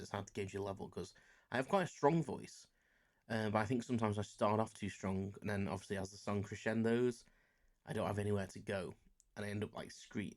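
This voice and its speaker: A man's voice: lowish voice, a little mellow. A man around forty, chatting casually on his solo podcast, rambling and self-deprecating with many fillers. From England. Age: about 40. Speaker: podcast host.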